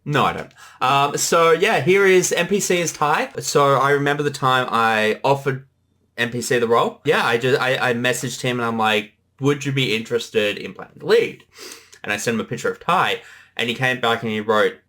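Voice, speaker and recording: A show creator giving casual, chatty commentary in an animated way, often slipping into quoted voices. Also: medium pitch, moderate pace.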